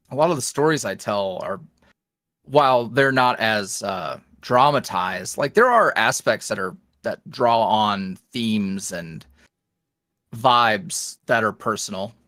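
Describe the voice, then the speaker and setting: Animated man chatting, very close dry sound. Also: on the low side, takes it slowly, no room sound. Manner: animated, rambling, self-deprecating. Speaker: podcast guest, ASMR roleplay voice creator. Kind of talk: casual interview chat.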